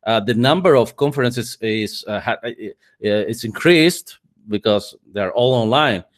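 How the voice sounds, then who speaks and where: A man's voice: medium pitch, measured pace. A man in his forties, a native Spanish speaker, speaking English as a guest in a remote interview, often repeating words as he searches for them. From Spanish-speaking country. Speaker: guest, head of communications. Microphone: remote call microphone.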